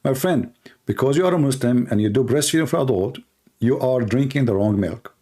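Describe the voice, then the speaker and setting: A man from the Middle East, a native Arabic speaker with an Arabic accent, hosting an informal live call-in show in a sarcastic, mocking manner with halting phrasing. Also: mid-range voice, mellow. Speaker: host, religious apologist. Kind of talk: live call-in broadcast, rambling informal commentary.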